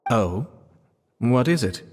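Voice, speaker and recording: A man's voice: mid-range voice, lively intonation, slow pace, darkish tone, big-room sound. A man voicing a composed, courteous young nobleman in a video game, speaking in a formal, diplomatic way. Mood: composed, inquiring.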